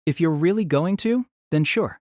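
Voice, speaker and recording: A man's voice: on the high side, expressive, normal speed, darkish tone, no room sound, studio quality, close to an everyday voice. An actor playing a wry, exasperated young man, delivering wordy first-person story narration and dialogue in a deliberating, self-conscious way.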